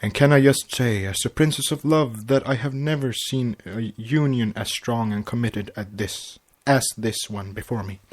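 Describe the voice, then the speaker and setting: A man's voice: mid-range voice, normal speed, few pauses, natural voice. Man as a formal pony princess officiant. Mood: solemn. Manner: formal, announcing. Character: stately female pony princess conducting a ceremony.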